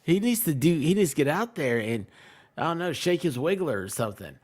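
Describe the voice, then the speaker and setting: A man with a very animated, swinging delivery rambles casually through jokey anecdotes. He talks like a live stream co-host among friends, often acting out bits of conversation as he goes. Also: a little high, a little fast. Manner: jokey, tells stories by quoting people in exchanges.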